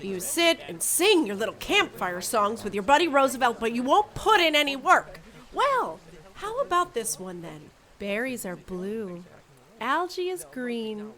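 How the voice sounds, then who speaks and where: A high, bright and punchy woman's voice of a tough crew boss, acted by a woman. She barks orders with a sarcastic edge and lets her pitch swing widely. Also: big pitch swings, a little slow. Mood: bossy. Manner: commanding, sarcastic.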